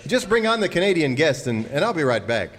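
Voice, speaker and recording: An actor playing a polite, wry cowboy host with a formal, mock-weary manner in comic radio theater. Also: male voice, a little high, big pitch swings, a little fast. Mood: genial. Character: polite, wry singing-cowboy leader of the show. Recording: reverberant noisy hall with audience.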